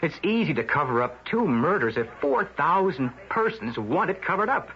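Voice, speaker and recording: A man's voice: fairly high pitch, very animated, normal speed, a little hiss or hum. An actor playing an earnest newspaper reporter in a radio drama, pressing his point and tripping over his words. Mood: urgent. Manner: insistent, stumbles over words. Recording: dull lo-fi old radio broadcast.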